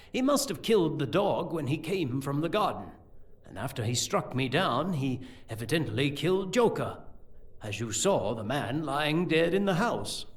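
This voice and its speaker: A learned, scheming sorcerer-priest played by a male actor in a fantasy audio drama. His voice sits fairly high and swings widely in pitch as he explains things formally and deliberately.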